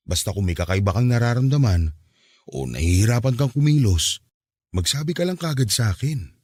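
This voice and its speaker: Man acting caring husband, husky, gentle reassuring manner, lively pitch, background music. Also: no echo. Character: patient loving middle-aged husband. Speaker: husband in radio drama. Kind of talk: Filipino radio drama acting. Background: soft background music.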